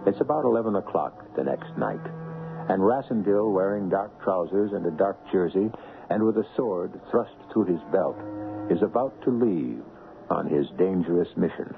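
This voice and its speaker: A man with a deep, creaky voice and lively intonation narrates an old radio drama as its host. His phrasing is deliberate and suspenseful. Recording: dull 1970s radio broadcast, music behind.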